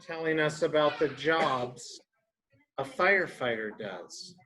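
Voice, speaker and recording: Guy teacher reading a kids book out loud, low-ish for a woman but really a man, takes it slow, stops to explain and ask stuff. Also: lively intonation, poor audio. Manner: simple explanatory phrasing with questions to the children. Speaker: early education teacher reading aloud to children. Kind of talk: read-aloud picture book lesson with explanations for young children.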